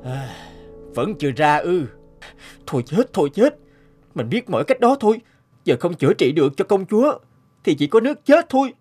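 A man's voice: high-pitched, sing-song, normal speed, long pauses, darkish tone. A man voicing a poor, honest young farmer who stammers and protests in a cartoon folk tale. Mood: worried. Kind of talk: animated folk tale voice acting. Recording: music bed behind the voice.

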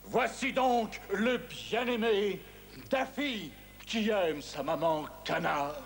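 A man's voice: sits high, takes it slowly, noisy background. A man voicing a big pompous duck who referees and announces boxing in a cartoon, with grandiose delivery. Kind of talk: ring announcing in French-dubbed cartoon. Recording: old cartoon soundtrack with music.

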